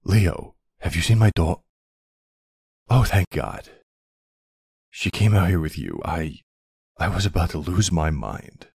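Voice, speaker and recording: Guy playing tender mafia dad in an asmr roleplay, real low and husky, takes his time. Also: animated, no room sound, clean studio sound, heavily acted. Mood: worried, relieved. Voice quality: gravelly, husky. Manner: soft, unhurried, hesitant pauses. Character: tender mafia boss husband and doting young father. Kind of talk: intimate ASMR roleplay acting.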